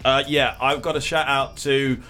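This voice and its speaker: A man's voice: medium pitch, little pitch movement, normal speed, pushed and bright. A middle-aged man from the north of England, co-hosting a radio show in a studio and telling rambling, jokey stories in casual banter. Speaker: radio co-host, station boss. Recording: music playing behind.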